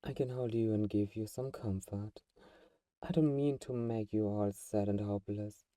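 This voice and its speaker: Man playing a dark, mellow, possessive vampire. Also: lowish voice, moderate pace, dry recording, recorded from a distance, close to an everyday voice. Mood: soothing, coaxing. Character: possessive male vampire, gentle, coaxing, condescendingly protective. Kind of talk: intimate ASMR roleplay monologue.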